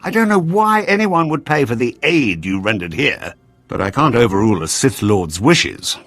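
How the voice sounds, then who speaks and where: A resentful, weary ambassador in a game drama. His husky voice is formal and aggrieved, swinging widely in pitch, over faint music. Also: sing-song, moderate pace, fairly bright tone, dry recording, full character voice. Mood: indignant, resigned. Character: bitter, disgraced senior male ambassador, weary and indignant. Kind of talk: dramatic video game voice acting.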